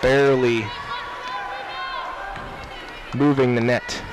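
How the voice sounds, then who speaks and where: A man's voice: medium pitch, little pitch movement, extremely slow. A young man calling live basketball play-by-play in clipped running phrases, raising his voice for key plays. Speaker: play-by-play announcer. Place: courtside in a basketball gym. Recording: noisy gym with loud crowd behind. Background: crowd, bouncing basketballs.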